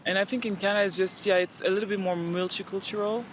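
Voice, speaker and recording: A woman's voice: medium pitch, fast, a little hiss or hum. A young woman from Central African Republic, a native French speaker with a French accent, talking earnestly in a casual interview and acting out bits of dialogue. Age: young adult. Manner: earnest, animated, acts out quoted dialogue. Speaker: interview guest.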